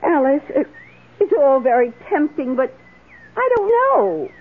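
An actress in a radio drama playing a wistful, lonely, devoted woman with a hesitant, emotive, drawn-out delivery. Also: high-pitched, sing-song, heavily acted. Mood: torn, hesitant. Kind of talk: 1970s radio drama acting. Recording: tinny, hissy old radio broadcast.

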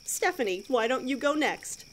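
A woman's voice: high voice, big pitch swings, moderate pace, slight background noise. A woman playing a cheery, prim, darkly unfazed scout troop leader in an audio drama, with an encouraging, condescending manner.

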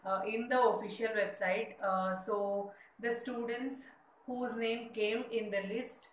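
A woman's voice: fairly high pitch, steady tone, slow, slight background noise. A young adult woman hosting an education vlog, explaining steps steadily with frequent "uh" fillers. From India. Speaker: education channel host. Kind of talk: informational vlog. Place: small indoor room. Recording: echoey room with faint ambience.

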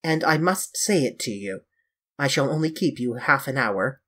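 A male reader plays a grave, concerned gentleman in a storybook. He speaks in a solemn, measured, pleading way, with a husky edge and expressive pitch movement. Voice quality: deep. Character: grave, earnest, concerned English gentleman painter.

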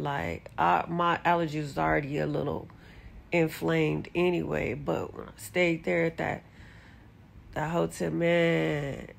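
A woman's voice: low voice, vocal fry, a little hiss or hum. A middle-aged woman with a congested voice, chatting candidly to her phone camera while sitting on a bed, with sudden exasperated rises. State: congested from allergies. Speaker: vlogger. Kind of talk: casual chat to camera. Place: bedroom, sitting on a bed indoors. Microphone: phone camera held close.

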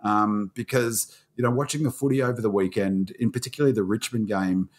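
An Australian man with a croaky, lower-pitched voice, talking on a podcast. He is fired up and ranting, and his words tumble out in bursts. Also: fairly even intonation, a little fast. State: croaky from screaming. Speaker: podcast panelist. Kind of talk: casual sports podcast chat.

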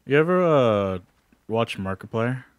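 A man's voice: mid-range voice, animated, unhurried, clear, resonant tone, no echo. A man chatting on a game stream, joking a lot and laughing at himself. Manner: joking, laughs often, self-deprecating. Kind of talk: casual gaming chat.

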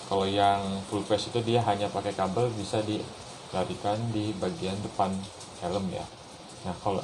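A man talks through a casual how-to. He goes very slowly, in informal, halting steps. There is clear background noise in the recording. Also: fairly low pitch. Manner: informal, halting step-by-step explaining with frequent tag words. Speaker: motorcycle vlogger presenting a how-to. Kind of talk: casual hands-on tutorial talk. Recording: noticeable background noise, room ambience.

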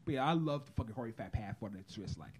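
A man's voice: mid-range voice, animated, fast talker, darkish tone, breathy edge. A man co-hosting a casual podcast chat, rambling in a laid-back, profane way, streamed live from a phone. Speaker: podcast co-host. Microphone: phone livestream.

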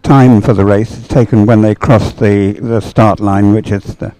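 A man giving chatty live sports commentary in a halting, unhurried way, as if thinking aloud. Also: fairly low pitch, steady tone, mellow, dry recording, rough recording.

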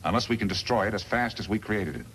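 An actor playing a smug, calculating crooked lawyer and boss in a 1950s radio western, with an insinuating manner. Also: male voice, lowish voice, fast, a bit bright, natural voice. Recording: noisy vintage 1950s radio recording.

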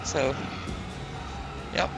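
A man's voice: drawn-out words, low-quality recording. A man driving a race car, speaking over team radio from the cockpit. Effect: team radio transmission. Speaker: racing driver. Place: inside a racing car cockpit. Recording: cockpit radio under music, car noise.

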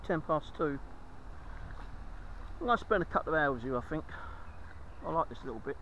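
Brief: man, slightly higher voice, casual swearing vlogger, outdoor camera audio with clear background noise. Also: expressive, normal speed, bright, forceful tone, lo-fi sound. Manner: chatty, swears casually, narrates finds. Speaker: magnet fishing vlogger. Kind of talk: casual vlog commentary. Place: outdoors by a river. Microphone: head-mounted action camera.

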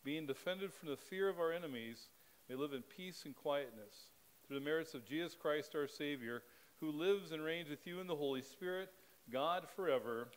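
A middle-aged man leads a spoken church liturgy from the pulpit. His reading is measured and steady, with an even cadence. He speaks into a pulpit microphone in a church sanctuary. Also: a little high, poor audio. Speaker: pastor.

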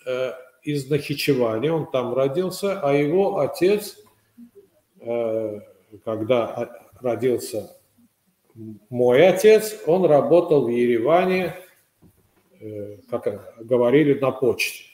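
An older man from Azerbaijan talks as a guest over a webcam call, measured and hesitant, pausing often as he tells his stories. His voice sits at an ordinary pitch. The sound bounces around an echoey room at home. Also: mellow. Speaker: guest, former foreign minister. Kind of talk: casual interview over video call. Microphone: webcam on video call.

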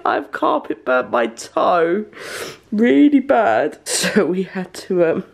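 A woman's voice: lowish voice, sing-song, slow pace, creaky. A young woman vlogging casually to a handheld camera, congested and with a sore throat, chatty and rambling. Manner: chatty, bubbly, rambling with many "like"s. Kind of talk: casual vlog chat to camera. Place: at home indoors and in a car. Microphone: handheld camera close to face.

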